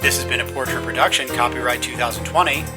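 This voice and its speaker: A man's voice: mid-range voice, steady tone, quick pace. A man announcing in a scripted credits-narration style. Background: loud music.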